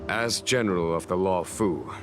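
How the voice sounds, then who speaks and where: A man playing a composed, courteous military general in a game cutscene, speaking in a formal, deliberate, authoritative way. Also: low-pitched, music in the background, natural voice. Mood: composed, grave. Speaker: voice actor. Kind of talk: scripted game cutscene dialogue.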